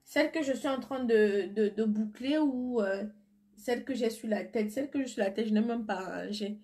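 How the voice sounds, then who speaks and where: A woman's voice: a little high, normal speed, darkish tone. A woman with a cold and flu chatting on a live stream from her phone, answering viewers' comments and turning blunt when she insists on something. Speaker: beauty seller on a live stream. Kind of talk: casual live stream chat.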